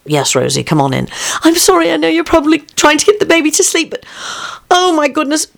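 A woman's voice: high voice, big pitch swings, a little fast, creaky, pitched above the performer's own voice, exaggerated voice. A woman voicing a flustered young mother in an improvised story scene. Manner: breathless, flustered.

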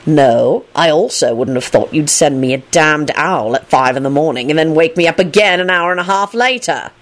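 A woman's voice: low voice, moderate pace, lightly acted. A woman voicing a weary, exasperated man with a sardonic, dryly dramatic delivery in a story reading.

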